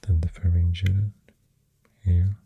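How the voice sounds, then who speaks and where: A very soft, deep ASMR voice with a Swedish accent, almost all breath and whisper. The delivery is slow and flat in melody, gently rambling with pauses, right up close to the microphone. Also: creaky, lo-fi sound, slight background noise. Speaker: ASMR host. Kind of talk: soft-spoken ASMR, rambling facts while drawing. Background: pencil writing and clicking sounds.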